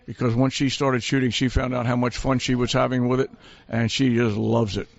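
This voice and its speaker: Mid-pitched man sharing shop anecdotes. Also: flat delivery, fairly quick, gravelly, dry recording, lo-fi sound. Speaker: sport shop representative. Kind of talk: casual anecdotal talk. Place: inside a sport shop.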